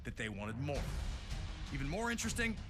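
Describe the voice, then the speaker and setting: A man's voice: mid-range voice, very animated, brisk. A man narrating a scripted sports documentary with dramatic, announcer-like storytelling. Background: music.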